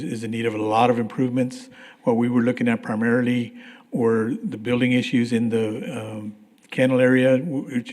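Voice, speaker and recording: A hoarse man walks a committee through a construction update, halting and restarting as he goes. His delivery stays flat and even. The meeting-room livestream carries a long hall reverb. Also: medium pitch. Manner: plain, halting, many restarts and fillers. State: losing his voice, clears throat. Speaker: construction manager. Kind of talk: public meeting presentation.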